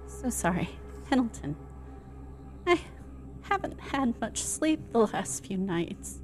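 An anxious, exhausted young mother in a radio play voiced by an actress, with a fairly high voice. She sounds fragile and grieving, choosing her words hesitantly and apologetically. Music plays clearly behind her. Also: sing-song, slow. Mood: flustered, tired. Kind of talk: scripted radio play acting.

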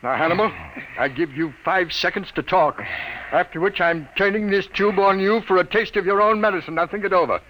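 An actor playing a brisk, suspicious boss with a blunt, slangy, commanding manner in a vintage radio drama. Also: male voice, sits high, a bit bright. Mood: stern, threatening. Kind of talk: 1940s-style radio drama acting. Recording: noisy old radio broadcast.

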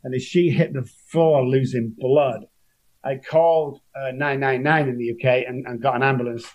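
A man's voice: medium pitch, steady tone, moderate pace. A middle-aged man with a Manchester accent, a guest telling his recovery story in an earnest, emotional way with frequent restarts. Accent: Manchester English. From Manchester, England.

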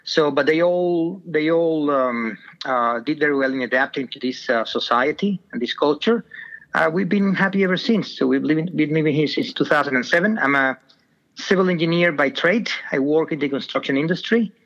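A man's voice: fairly high pitch, normal speed, fairly bright tone. A middle-aged man from Venezuela, a podcast guest on a phone line, talking hesitantly with many fillers.

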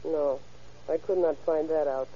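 An actress playing a guarded female informant, speaking in a deliberate, insinuating way in an old radio crime drama. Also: sits low, fairly even intonation, mellow, slightly rough. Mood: knowing. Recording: tinny, narrow-band vintage radio recording.

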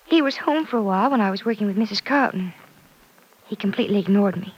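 Husky, troubled young woman in a radio soap, played by an actress. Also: medium pitch, highs cut off, close to an everyday voice. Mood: upset, confiding. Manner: hesitant, halting confessions. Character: troubled, lovestruck young daughter, earnest and wistful. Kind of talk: mid-century radio soap acting.

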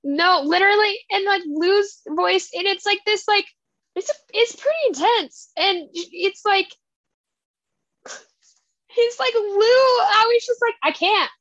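A young woman co-hosting a casual book podcast, animated and often laughing as she acts out characters' lines. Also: extremely high pitch, unhurried, no echo, muffled recording. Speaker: podcast co-host. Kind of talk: casual chat about books.

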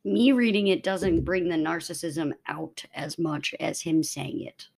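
Low-voiced woman co-hosting a podcast. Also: unhurried, creaky, darkish tone. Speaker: podcast co-host. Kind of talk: casual true-crime discussion.

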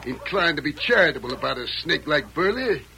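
An actor playing a blustering, folksy western town boss with an American Western drawl in an old radio drama. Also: male voice, a little high, very animated, a little fast, creaky, bright, forceful tone, heavily acted. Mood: goading. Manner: bombastic, folksy speechifying. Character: blustering self-appointed western town boss rousing a mob. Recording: noisy vintage radio recording.

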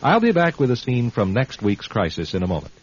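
Animated male radio host over music. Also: mid-range voice, fairly quick, breathy edge. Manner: wry, tongue-in-cheek storyteller. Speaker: host and narrator. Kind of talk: scripted radio drama narration. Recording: dull, muffled radio broadcast recording.

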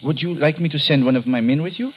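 An actor playing a fat, indolent plantation owner in an old radio drama, speaking with florid courtesy and a bossy edge. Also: male voice, a little high, fast talker, lo-fi sound, lightly acted. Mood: courtly, obliging. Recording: noisy vintage radio recording.

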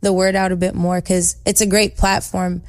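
A young woman singer-songwriter with a soft voice, chatting in an upbeat, slangy, bubbly way as an interview guest. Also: lowish voice, flat delivery, moderate pace, no echo, clean studio sound. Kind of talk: casual interview chat.

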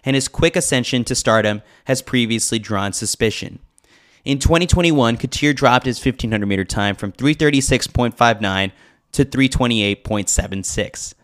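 Male podcast host, medium pitch, scripted sports newsletter read with dry wit and firm opinions. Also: fairly bright tone. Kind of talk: scripted narration with wry commentary.

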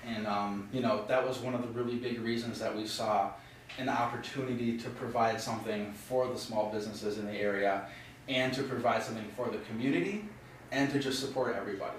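A man's voice: fairly low pitch, fairly quick. A man from Illinois in the United States, chatting casually as a business-owner guest in an interview. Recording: very echoey room, distant mic, faint noise.

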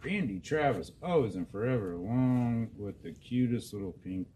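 A man's voice: mid-range voice, expressive, moderate pace. A man hosting a casual podcast, reading out listener responses, swearing freely and stumbling over names. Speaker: podcast host. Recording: noisy background.

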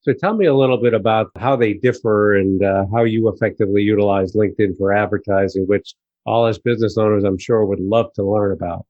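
A man's voice: lowish voice, fairly even intonation, a little fast, no echo. A man hosting a conversational business interview, asking questions over slightly lo-fi audio. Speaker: interviewer, host.

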